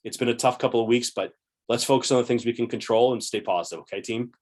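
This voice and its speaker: A man in his forties talks straight into a desk webcam from a room at home, upbeat and chatty as he explains things to his viewers. His voice sits in an ordinary middle pitch and carries a slight hoarseness. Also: steady tone, fast talker, a little mellow. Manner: upbeat, chatty, gesturing with both hands. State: slightly hoarse, clearing throat. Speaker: investing channel host. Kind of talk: casual explainer to camera.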